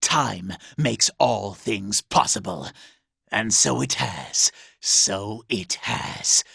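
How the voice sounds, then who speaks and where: A man voicing a vain, scheming, treacherous robot villain in a video game, raspy and gravelly, with a sneering, pompous, theatrical delivery. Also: lowish voice, drawn-out words, sharp tone, no room sound, clean studio sound, exaggerated voice. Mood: smug. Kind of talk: video game character acting.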